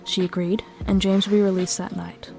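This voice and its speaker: A woman's voice: medium pitch, level tone, a little fast, a little mellow, natural voice. A woman narrating a scripted story as the narrator of an audio drama. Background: faint music.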